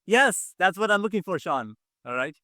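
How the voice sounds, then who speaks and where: A man's voice: high-pitched, animated, normal speed, sharp tone, no echo, studio quality. A man casually hosting a quiz game on a video call, encouraging and often repeating himself. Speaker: quiz show host.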